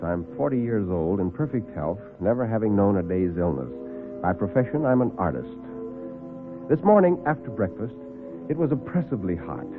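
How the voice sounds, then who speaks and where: An actor playing a precise, brooding artist of forty, narrating formally and gravely in first person for classic radio. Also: male voice, medium pitch, close to an everyday voice. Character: forty-year-old male artist, precise, brooding diarist. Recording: muffled vintage radio recording with music.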